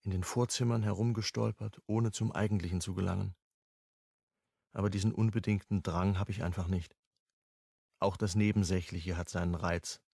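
An actor playing a reflective, wry amateur sleuth, narrating a radio crime drama in an understated, pensive way. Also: male voice, low-pitched, fairly even intonation, moderate pace, creaky, mellow, husky, dry recording, clean studio sound, exaggerated voice.

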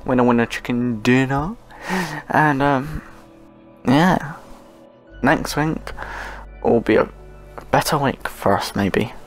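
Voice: mid-range voice, slow, long pauses, faint music behind. A gaming host giving casual, rambling commentary while playing, often murmuring short repeated phrases.

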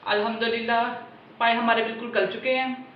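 A woman gives calm, step-by-step cooking instructions in a fairly high but flat voice. Her home kitchen sounds roomy. The recording is muffled and has clear background noise. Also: a little fast. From Pakistan. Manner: calm, plain instructional delivery. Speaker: home cooking channel host.

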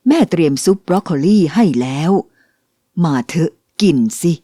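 A woman voicing a gentle, patient mother in a dubbed cartoon, speaking in a soothing, coaxing way. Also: on the low side, sing-song, moderate pace, dry recording, clean studio sound, pitched below the performer's own voice. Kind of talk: cartoon dubbing.

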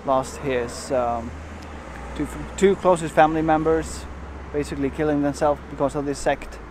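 A man in his forties vlogging casually to a handheld camera outdoors and in a van, relaxed and rambling with many fillers and restarts. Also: fairly high pitch, moderate pace, noisy background. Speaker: travel vlogger. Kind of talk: casual vlog narration to camera. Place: outdoors in a parking lot and inside a van. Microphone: handheld camera at arm's length.

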